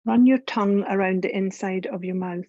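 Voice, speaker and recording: A woman leading a guided meditation as a yoga teacher, speaking in a soothing, unhurried, instructive way. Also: mid-range voice, warm tone, no room sound, highs cut off. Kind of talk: guided meditation, scripted narration.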